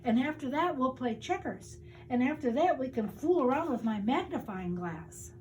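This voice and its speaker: An older woman voicing an eager, excited young boy in a storybook, speaking with emphasis. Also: high-pitched, moderate pace, a little hiss or hum, voice pushed up, low-quality recording. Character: eager young boy full of big sleepover plans.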